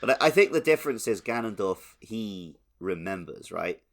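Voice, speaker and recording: A man's voice: fairly low pitch, sing-song, moderate pace. A man co-hosting a podcast, talking casually about video games. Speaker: podcast co-host.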